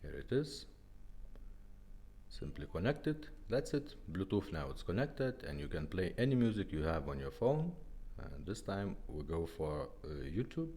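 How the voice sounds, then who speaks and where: A man's voice: sits low, big pitch swings, a little slow, warm tone. A man casually demonstrating a product, giving simple step-by-step instructions in short phrases. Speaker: vintage car radio restorer presenting a demo.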